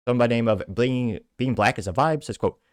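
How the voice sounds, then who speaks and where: A man's voice: mid-range voice, fast talker, no echo, clean studio sound. A man with an American accent giving casual, rambling political commentary as a show host, with offhand asides.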